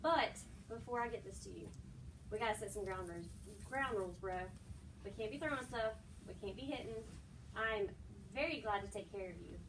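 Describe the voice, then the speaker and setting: A woman's voice: mid-range voice, expressive, fairly quick, lightly acted. A woman acting a patient, placating nurse who coaxes and sets rules, a little hesitantly, in an amateur skit. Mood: gently firm. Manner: coaxing, hesitant. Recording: distant microphone, noisy room.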